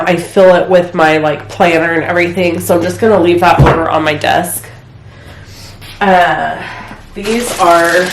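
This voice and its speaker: A woman with a stuffy head cold chats casually while she clears out her home craft room. She rambles a bit and uses lots of filler words. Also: lowish voice. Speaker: crafting vlogger. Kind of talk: casual chat while decluttering. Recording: echoey room, faint noise.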